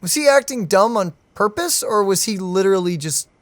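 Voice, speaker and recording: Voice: medium pitch, expressive, a little fast, dry recording. A gaming streamer in casual reaction chat over gameplay, speaking informally and inquisitively, often repeating words.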